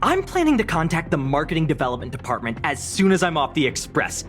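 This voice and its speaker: Small-time pencil pusher: jaded, theatrical, sardonic, swooping intonation, faint music behind. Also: male voice, a little high, wildly swooping pitch, quick pace, fairly bright tone. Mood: bitter, then scheming. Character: jaded, self-deprecating, excitable small-time office worker. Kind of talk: video game voice acting.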